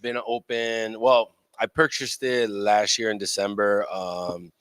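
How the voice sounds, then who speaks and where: A man in his forties from Chicago chatting casually as an interview guest, slangy and a little halting. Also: lowish voice, flat delivery, a bit bright, smooth, no room sound. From Chicago, born in Puerto Rico. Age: 40s. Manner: informal, slangy, hesitant phrasing. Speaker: guest, event venue owner.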